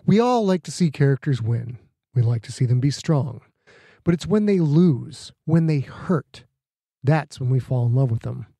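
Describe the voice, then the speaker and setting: A man's voice: mid-range voice, animated, moderate pace, mellow, slightly rough, dry recording. A man reading a scripted, reflective author's note aloud.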